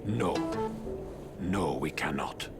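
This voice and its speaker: Wise old mutant master, deep, gravelly, solemn, measured, game cutscene with music. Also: male voice, expressive, heavily acted. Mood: solemn worry. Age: older. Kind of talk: animated video game acting.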